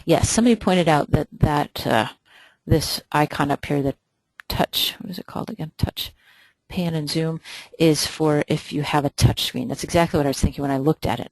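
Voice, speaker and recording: Instructor on a webinar, lots of ums, kinda gravelly, dry sound. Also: mid-range voice, no room sound, poor audio. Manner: hesitant, many ums, thinks aloud. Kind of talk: live webinar Q&A, screen demo.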